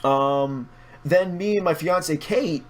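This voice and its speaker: Guy with kinda high voice on a podcast, rambles on with strong opinions, swears a lot. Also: slow. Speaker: podcast guest, video creator. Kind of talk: casual podcast interview chat.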